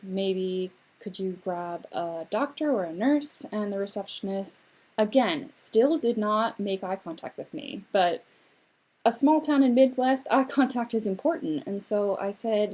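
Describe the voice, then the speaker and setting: A young adult woman voicing a signed personal story, a mother recounting events in measured, phrase-by-phrase delivery with short pauses. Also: mid-range voice, a little mellow.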